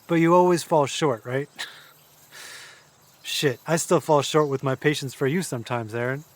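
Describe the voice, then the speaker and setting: A man acting a troubled, warm-hearted brother in an audio drama, halting and repeating words, prone to swearing. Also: fairly high pitch, natural voice. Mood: wistful. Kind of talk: naturalistic audio drama dialogue.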